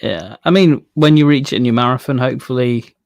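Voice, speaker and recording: A man's voice: mid-range voice, fairly quick, vocal fry, a little mellow, no room sound, clean studio sound. A man co-hosting a fan discussion show in a casual, conversational way. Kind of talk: casual chat.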